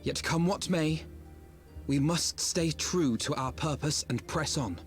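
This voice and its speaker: A man's voice: fairly high pitch, unhurried, lightly acted. An actor voicing an earnest, resolute young man in a video game, speaking solemnly. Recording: music under the voice.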